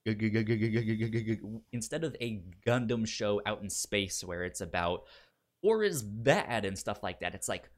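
A man's voice: fairly low pitch, expressive, moderate pace. A man chatting casually as a podcast host giving recommendations, stuttering and repeating syllables and words as he goes. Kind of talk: casual film chat.

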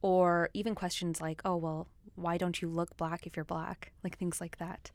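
A woman's voice: on the low side, little pitch movement, normal speed, no room sound. A woman who grew up speaking Swahili, talking at length in a casual film discussion, outspoken, with many fillers.

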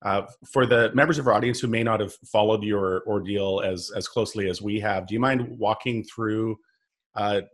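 A man hosting an interview podcast, opening with a scripted intro, then asking questions haltingly and repeating words. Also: fairly low pitch, steady tone. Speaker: podcast host.

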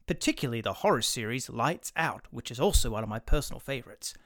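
A somewhat high male voice in a dry, close recording. He is a formal host delivering a measured, scripted introduction to a radio play. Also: no echo.

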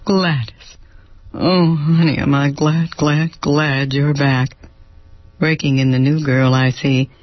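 A woman's voice: deep voice, steady tone, takes it slowly, dry recording, lo-fi sound, pitched below the performer's own voice. A woman doing a gushing flamboyant man. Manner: gushing, theatrical endearments.

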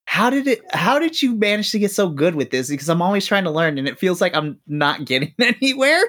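A man's voice: high voice, sing-song, brisk, a bit bright. Self-deprecating man asking questions. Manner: self-deprecating, laughs often. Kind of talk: casual chat.

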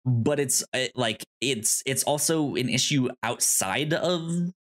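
A podcast co-host casually discussing films and comics in a halting way, often repeating sounds and words. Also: medium pitch. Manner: halting, frequent stammered repetition of sounds and words.

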